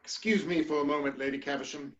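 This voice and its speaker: A man playing an earnest, dignified gentleman politician, speaking formally and gravely in a theatrical reading of a period comedy. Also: fairly high pitch, fairly even intonation, fast talker, highs cut off, natural voice. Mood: resolute.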